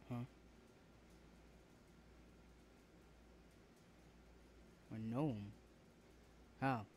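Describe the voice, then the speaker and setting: Casting: male actor as young, confrontational big brother for audio roleplay; medium pitch, hostile, interrogating. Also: background noise, lightly acted. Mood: suspicious. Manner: confrontational, swearing, demanding. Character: rude, hostile, protective older brother confronting an intruder. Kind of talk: ASMR roleplay acting.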